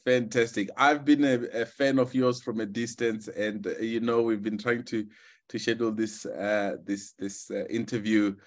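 A man's voice: fairly low pitch, level tone, normal speed. A man hosting a podcast interview over a video call, friendly, with many fillers and restarts. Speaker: podcast host.

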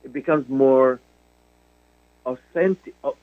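A middle-aged man with a Syrian Arabic accent, speaking as an actor guest in a radio interview, slow and halting with many fillers and restarts. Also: mid-range voice, no room sound. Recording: dry phone-line call.

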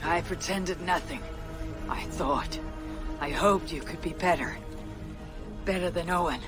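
An actress as a battle-hardened Viking warrior woman in a video game. She is husky, firm and earnest, measured in her dramatic delivery. Loud music sits behind her. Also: lowish voice, natural voice. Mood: earnest reproach.

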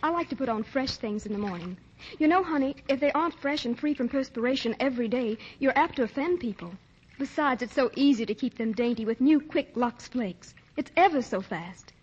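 A woman's voice: high-pitched, lively intonation, a little fast. An actress playing a chatty, helpful young woman in a 1940s radio commercial. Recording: muffled, raspy old radio recording.

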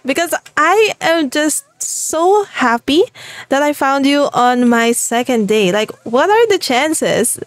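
A young woman with a Filipino accent talks her way through a game, upbeat and chatty and quick to laugh. Her voice sits a little high. The sound is close and dry, with no room around it. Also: expressive, dry recording. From Philippines. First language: Tagalog. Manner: cheerful and chatty, laughs often. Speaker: gaming channel host. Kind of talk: let's play commentary.

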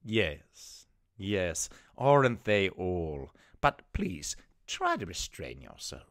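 A performer plays a self-important man directing ad auditions, his voice very deep yet swooping wildly up and down. He speaks slowly and grows impatient, in a comedy sketch. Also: sing-song, a bit bright, no echo, clean studio sound, exaggerated voice. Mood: pompous. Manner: pompous, impatient, stammering. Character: exasperated male casting director for a baby-food ad.

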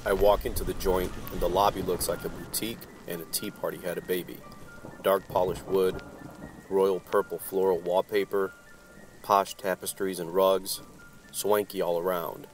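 Man acting a low-voiced hard-boiled detective. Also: flat delivery, normal speed, faint music behind, lightly acted. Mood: wry, brooding. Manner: gruff, wry, world-weary. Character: hard-boiled, wisecracking male supernatural private detective. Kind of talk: noir first-person narration, old-time radio drama.

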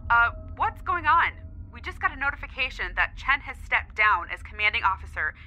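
Very bright crew member on comms. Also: female voice, on the high side, sing-song, a little fast, soft background music, full character voice, rough recording. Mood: uncertain, casual. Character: casual crew pilot calling in over comms. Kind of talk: audio drama acting.

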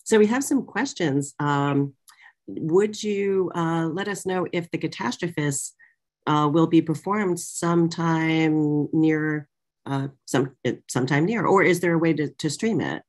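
A woman's voice: deep voice, measured pace, darkish tone. A middle-aged woman hosting a casual conversation over a video call, putting audience questions in an informal, hesitant way. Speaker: interviewer, moderator. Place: small office, indoors. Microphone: video call, computer microphone.

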